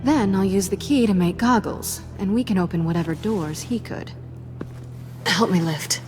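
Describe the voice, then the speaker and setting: A woman's voice: medium pitch, moderate pace, lightly acted. An actress playing a sly, tough female thief in a video game, with a wry, teasing, guarded way of talking. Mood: brisk, businesslike. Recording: game audio under loud music.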